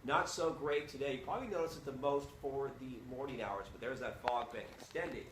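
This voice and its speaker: A man giving a live TV weather forecast, brisk and reading out temperatures, heard from across a studio. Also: mid-range voice. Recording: echoey, distant, noisy room pickup.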